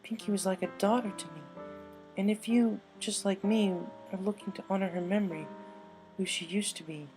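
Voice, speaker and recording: Woman voicing a gentle motherly pony, higher-pitched. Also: steady tone, normal speed, faint music behind, lightly acted. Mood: gentle, sympathetic. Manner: gentle, sympathetic, uses endearments. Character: kindly, motherly middle-aged female shopkeeper pony.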